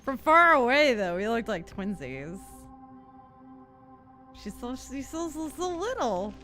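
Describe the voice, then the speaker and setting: Playful woman streamer with lively, unhurried delivery. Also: a little high, faint music behind. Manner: playful, slangy, giggly. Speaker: game streamer. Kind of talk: casual playthrough commentary.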